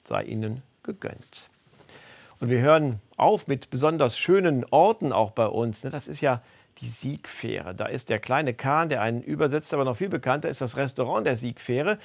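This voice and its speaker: Gravelly-voiced male news presenter. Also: mid-range voice, animated, normal speed. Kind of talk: presenting a regional news show.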